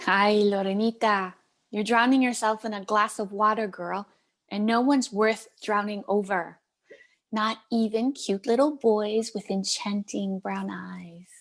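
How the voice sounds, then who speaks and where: A woman's voice: medium pitch, fairly even intonation, unhurried, close to an everyday voice. A woman playing a caring but firm middle-aged Cuban aunt in a play table read, coaxing and mixing in Spanish endearments. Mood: exasperated.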